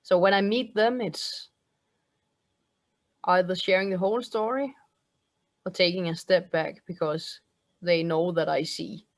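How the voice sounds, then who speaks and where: A woman's voice: lowish voice, fairly even intonation, unhurried, pauses often, dry recording. A woman from Denmark in her forties with a Danish accent, a medium and healer talking earnestly in a casual video-call interview, English as her second language. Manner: earnest, laughs often. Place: indoors at home.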